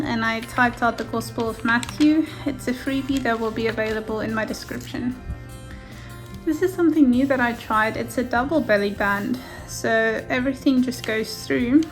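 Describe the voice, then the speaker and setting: Soft-spoken woman, native Afrikaans speaker. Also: fairly high pitch, faint music behind. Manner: gentle, softly enthusiastic, unhurried. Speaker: junk journal maker. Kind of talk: casual flip-through narration. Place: indoors at a craft table.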